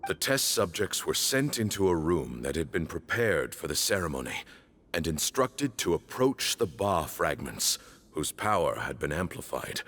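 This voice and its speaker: An actor playing a grave, reproachful older male temple leader in a fantasy video game, speaking formally and deliberately. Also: deep voice, sing-song, a bit bright, exaggerated voice. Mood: grave, recounting. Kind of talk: dramatic scripted game dialogue.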